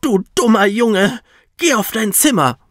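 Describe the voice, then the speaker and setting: An emphatic fairy-tale mother, voiced by a man well above talking pitch. She exclaims to her young son with lively swings, recorded dry. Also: measured pace, no echo, heavily acted. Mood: furious. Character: poor boy's mother, emphatic storybook woman.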